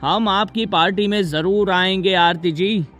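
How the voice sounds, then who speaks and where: A man's voice: sits high, steady tone, unhurried, a bit bright, soft background music. A man playing a husband and father in a children's cartoon story, earnest and emphatic. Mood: polite. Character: reassuring husband and father, alarmed under threat.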